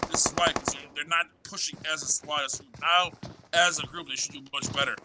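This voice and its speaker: A man's voice: fairly high pitch, steady tone, fairly quick. A man working as an esports analyst, breaking down team play in a live, coaching tone. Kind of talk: live game commentary. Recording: game gunfire and engine noise under voice.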